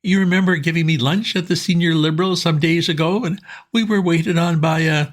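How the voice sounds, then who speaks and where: A man voicing an excitable, lovestruck young gentleman who pleads and gushes in a raised voice. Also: on the high side, fairly quick, voice pushed up, lightly acted. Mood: eager. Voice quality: eager, pleading, gushing.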